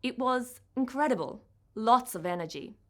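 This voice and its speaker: A woman's voice: a little high, animated, normal speed, pitched above the performer's own voice, lightly acted. A polite, eager teenage girl from a storybook , acted out by a woman reader. She speaks with an Italian accent, choosing words with prim care and sometimes searching for the right one. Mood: warm, admiring. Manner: prim, proper, careful speech, searching for words.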